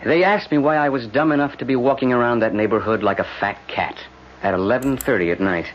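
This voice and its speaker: An actor playing a weary, wry defense lawyer who grumbles drily in a vintage radio drama. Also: male voice, mid-range voice, fast, natural voice. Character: weary, sardonic male city defense lawyer. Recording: dull, noisy old radio recording.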